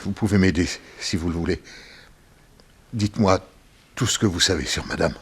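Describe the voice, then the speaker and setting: An actor playing a jealous, desperate husband with a violent temper in a vintage radio drama, volatile and menacing. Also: male voice, low-pitched, normal speed, husky. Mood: weary. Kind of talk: 1960s French radio drama acting.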